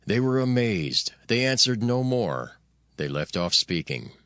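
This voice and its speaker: A male narrator reads scripture with solemn formality. His voice is bright and forceful, moving expressively in pitch, and the recording is dry and close. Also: very animated, a little slow, clean tone, dry recording.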